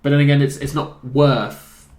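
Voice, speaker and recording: A man's voice: medium pitch, little pitch movement, moderate pace, darkish tone. A man hosting a casual, opinionated chat among friends, recorded in a roomy space. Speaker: host of a video game chat show. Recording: roomy, echoey indoor pickup.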